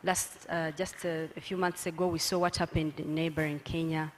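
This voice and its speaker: A woman's voice: low-pitched, level tone, normal speed. A woman moderating a public panel discussion in a formal, somewhat hesitant way. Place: lecture hall with an audience. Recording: noisy hall with crowd sound.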